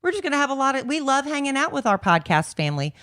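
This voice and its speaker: A woman's voice: high-pitched, very animated, fast talker, fairly bright tone. A middle-aged woman, co-hosting a podcast in a chatty, rambling way. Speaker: podcast co-host. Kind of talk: casual chat.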